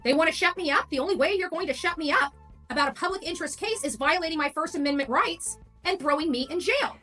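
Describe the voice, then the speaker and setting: A woman's voice: high-pitched, quick pace, sharp tone, soft background music. A woman talking in an informal livestream monologue, defiant, emphatic and combative. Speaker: livestreamer covering a case.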